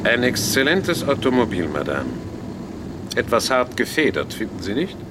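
A pompous older Belgian detective, acted by a man for a comic radio play. His delivery swings widely in pitch and is full of self-important courtesy. Vehicle noise runs clearly behind him. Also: big pitch swings, normal speed, close to an everyday voice. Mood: curious, courteous. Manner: pompous, theatrical, peppers speech with French phrases.

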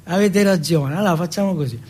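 A man's voice: high voice, fast talker, darkish tone, husky. A man playing a big young jailhouse bully in a stage monologue, mocking and sadistic, coaxing and ordering with coarse, repeated commands. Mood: goading. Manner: taunting, coaxing, repetitive commands, coarse swearing.

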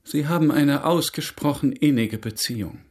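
An actor playing a courteous, inquisitive gentleman suitor in a German radio drama, formal and gently probing. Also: fairly high pitch, normal speed, slightly rough. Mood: wry concern.

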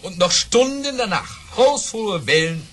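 An actor playing a boastful baron who tells tall tales with theatrical relish in a German audio drama. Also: male voice, high voice, lively intonation, unhurried, fairly bright tone. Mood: dramatic relish.

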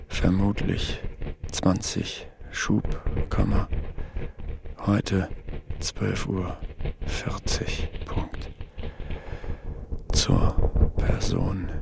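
A secretive spy dictating in a radio drama. The voice is low and creaky, each clipped fragment set far apart, with clear noise behind it. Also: male voice, heavily acted. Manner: halting, clipped dictation with spoken punctuation. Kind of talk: radio play acting.

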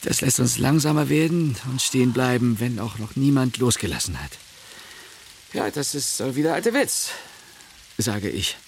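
Radio play narrator: middle-aged secular husband, wry and affectionate, expressive pitch, clear background noise. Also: male voice, normal speed. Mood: playful. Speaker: first-person narrator.

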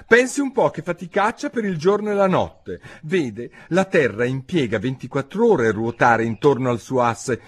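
A curious, well-mannered little girl, as a male narrator puts her on in a storybook reading, pitched well above his own voice with lively swings up and down. She sounds earnest and eager, with a husky grain underneath. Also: normal speed, few pauses. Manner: earnest and eager, sometimes flustered.

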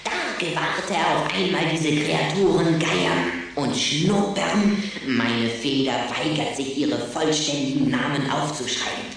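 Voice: medium pitch, animated, unhurried, fairly bright tone. An actor playing a sly, boastful young boy vampire in a children's audio drama, pompous, bossy and teasing. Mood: pompous, declaiming. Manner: pompous, grandly declaiming, teasing. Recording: very echoey, distant, faint noise.

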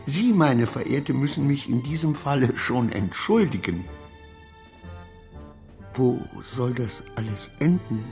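Unravelling man, agitated, self-questioning, servile and formal, medium pitch, radio drama with music. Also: lively intonation, slow, a little mellow. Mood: indignant, rambling. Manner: agitated, rambling, self-questioning, formal old-fashioned phrasing. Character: distraught, obsequious man losing his grip, ranting to himself. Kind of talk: radio play monologue.

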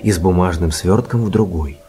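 A man narrating a scripted audiobook in a measured storytelling manner. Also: low-pitched, husky. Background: loud music.

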